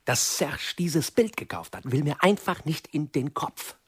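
A man's voice: fairly high pitch, animated, measured pace, husky. An actor playing an exasperated, opinionated man in a German radio play, speaking in an agitated, emphatic way. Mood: indignant, anxious. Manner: agitated, emphatic, repeating himself indignantly. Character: exasperated, opinionated man fretting over a friend.

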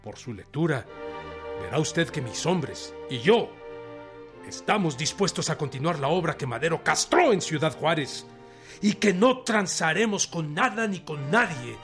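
An actor playing a resolute, defiant Mexican revolutionary leader, declaiming emphatically in a radio drama. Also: male voice, fairly high pitch. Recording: music behind the voice. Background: music.

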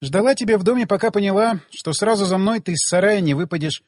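A man's voice: high voice, quick pace, long reverb tail, pitched above the performer's own voice. A man voicing a proud, teasing woman in an audiobook, with a casual, wry, self-assured manner. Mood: casual, confiding. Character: proud, teasing young woman, lover of the hero.

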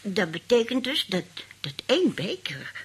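An actress playing a sly, bossy old great-aunt in a radio play, speaking in a deliberate, calculating way. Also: female voice, mid-range voice, very animated, slightly rough. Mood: brisk, calculating. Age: older.

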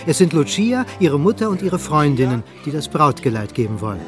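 A man's voice: a little high, very animated, a little fast, over music. A man narrating a literary radio play in a scripted, storytelling style.

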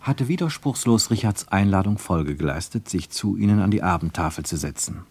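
A man narrating a literary radio play with expressive, deliberate phrasing. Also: deep voice, slightly rough.

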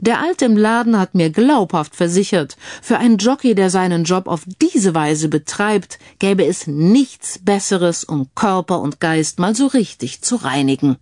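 A woman's voice: fairly low pitch, expressive, unhurried, hardly any pauses, a little mellow, dry recording, clean studio sound. A woman voicing a confident, no-nonsense female detective in an audio crime story. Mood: firm, explaining.

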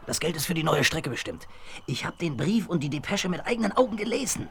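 An actor playing a scheming, boastful male spy in a 1970s western radio drama, excitable and conspiratorial. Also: on the high side, quick pace. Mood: gloating. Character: scheming boastful tramp spy posing as a clerk. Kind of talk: 1970s German audio drama acting.

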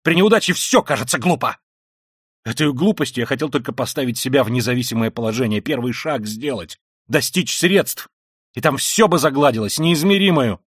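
A man's voice: fairly low pitch, no room sound, studio quality, close to an everyday voice. A man voicing a tormented, proud young man. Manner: quiet and weary, then bursts into shouting rage. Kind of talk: audiobook reading of a classic novel.

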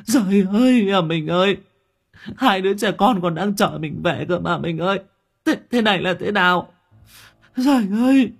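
A man voicing a grief-stricken young widow who wails and stammers desperate pleas in a told story. His voice is husky. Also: high voice, expressive, moderate pace, voice pushed up, exaggerated voice. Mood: anguished wailing. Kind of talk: storybook acting.

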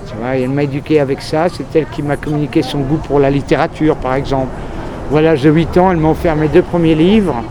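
A man's voice: a little high, steady tone, fast talker. A man from France talking frankly in a street interview, rambling through a long story with many fillers. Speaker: journalist. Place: outdoors on a busy city street. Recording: crowd noise outdoors. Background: crowd and traffic.

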